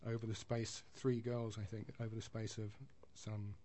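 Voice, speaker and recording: A man's voice: lowish voice, flat delivery, normal speed, warm tone. A man who is a guest on a radio music show, introducing his track choices in a chatty, thinking-aloud way. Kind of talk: casual radio chat.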